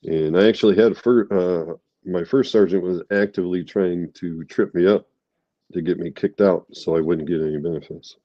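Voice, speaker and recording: A man's voice: low-pitched, steady tone, moderate pace, no echo, lo-fi sound. A middle-aged man, an army veteran, congested from a cold, speaking candidly in an interview with many fillers and restarts.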